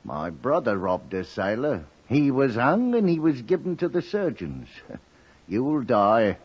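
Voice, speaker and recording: An actor playing a simple, remorseful condemned cattle thief with a husky voice in a 1950s radio drama. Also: male voice, medium pitch, sing-song, takes it slowly, exaggerated voice. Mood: gloomy resignation. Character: doomed male cattle thief, resigned, simple, remorseful drinker. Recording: muffled vintage 1950s radio recording.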